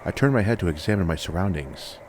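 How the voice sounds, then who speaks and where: Very deep-voiced man narrating fantasy. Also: animated, darkish tone, slight background noise. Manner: measured, dramatic, short deliberate phrases. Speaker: audiobook narrator. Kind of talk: scripted first-person fantasy story narration.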